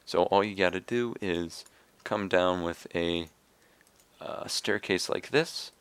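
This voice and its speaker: A young man with a raspy, slightly hoarse voice, casually explaining a game build step by step with fillers. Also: low voice, takes it slowly, fairly bright tone, no echo. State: hoarse from coughing. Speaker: video game tutorial maker. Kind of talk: casual screen-recorded game tutorial.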